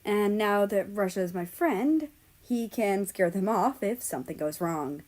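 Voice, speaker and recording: A woman reading fiction aloud as an expressive narrator. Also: mid-range voice, a little slow. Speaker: story narrator.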